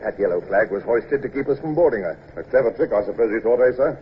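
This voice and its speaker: A man playing a stern naval commodore in an old-time radio drama. He speaks in a clipped, formal, commanding way at a middling pitch, on a dull recording with faint noise. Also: expressive, fast, natural voice.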